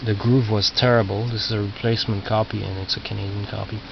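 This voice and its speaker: A man who is not a native English speaker, casually talking through his collection as a record collector, halting and muttering as he reads out labels, on a cheap video camera microphone. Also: fairly low pitch, little pitch movement, creaky. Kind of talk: casual narrated show-and-tell of collection. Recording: muffled cheap video camera, loud noise.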